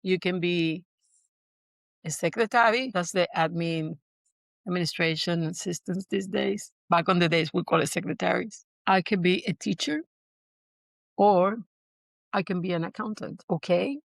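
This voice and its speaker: A woman's voice: on the low side, normal speed, vocal fry, no echo. Woman guest. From Puerto Rico. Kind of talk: storytelling interview.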